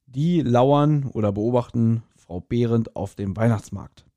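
A man's voice: medium pitch, a little slow, mellow, no room sound. A man co-hosting a podcast in casual chat, with a joking, colloquial way of talking. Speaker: podcast co-host.

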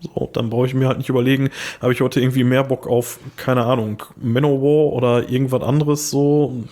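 A young man with a slightly hoarse voice, talking casually as a podcast co-host. He rambles, restarts his sentences and fills the gaps with "ähm". His pitch sits in the middle for a man. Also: level tone, brisk, a little mellow. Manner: rambling, many fillers and restarts. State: a little hoarse. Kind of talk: casual chat.

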